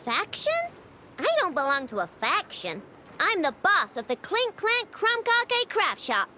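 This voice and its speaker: A woman's voice: sits high, very animated, measured pace, bright, forceful tone, a little hiss or hum, heavily acted. A woman playing an earnest, spunky young girl inventor in a video game, with a childlike and blunt manner. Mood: proud, puzzled.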